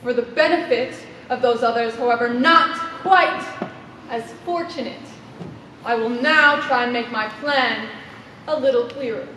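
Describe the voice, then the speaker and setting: A woman acting in a live stage play as an imperious, scheming committee president, making pompous, deliberate speeches. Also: fairly high pitch, bright, forceful tone. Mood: smooth, condescending. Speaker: stage actor. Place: indoor theater stage, recorded from afar. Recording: echoey theater hall, distant mic, faint noise.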